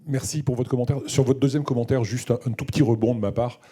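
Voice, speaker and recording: A man's voice: medium pitch, steady tone, fast talker, warm tone, breathy edge. A man speaking off the cuff on a conference panel, emphatic and restating his points as he builds an argument. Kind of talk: unscripted conference discussion.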